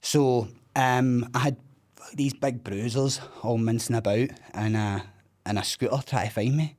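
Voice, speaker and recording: A Scottish man in his thirties, a self-described loudmouth, bluntly and candidly telling his own story with plenty of swearing in casual interview talk. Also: medium pitch, steady tone, a little slow. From Scotland.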